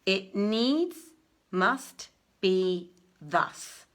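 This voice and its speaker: A woman's voice: medium pitch, expressive, very slow. Woman acting an emphatic, imperious young prince. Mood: commanding, insistent. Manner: declamatory, emphatic. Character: curious princely young man, imperious yet generous. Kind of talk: dramatic storybook reading in archaic English.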